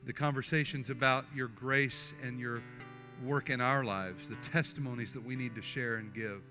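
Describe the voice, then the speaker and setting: An older male pastor in his sixties with a bright, forceful voice, preaching and leading an altar prayer in a church sanctuary. He speaks earnestly, often stumbling and restarting his phrases, while soft guitar music plays faintly beneath him. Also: medium pitch, steady tone. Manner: earnest, halting with repeated restarts.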